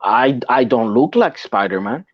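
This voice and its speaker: A man's voice: mid-range voice, a little slow, no room sound, highs cut off. A man from Puerto Rico with a Puerto Rican accent, chatting casually on a podcast, often repeating and restarting words as he talks. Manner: repeats and restarts words, animated when making a point.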